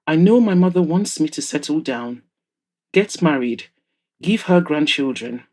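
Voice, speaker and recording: Audio drama role: bored, restless male bachelor voiced by a narrator, medium pitch, discontented ladies' man. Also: darkish tone, lightly acted. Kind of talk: audiobook-style character dialogue.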